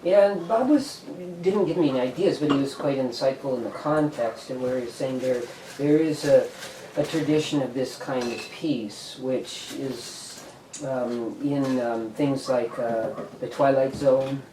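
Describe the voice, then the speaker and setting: A man pitching ideas informally to a group, rambling and tentative as he goes. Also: a little slow. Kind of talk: informal brainstorming talk. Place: indoor meeting room. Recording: roomy, distant mic, faint noise.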